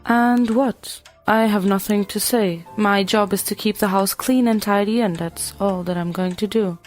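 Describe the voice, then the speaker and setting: A woman's voice: mid-range voice, steady tone, moderate pace, faint music behind, close to an everyday voice. A woman playing a loyal, outspoken housemaid in an audio drama, earnest and formal. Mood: reserved.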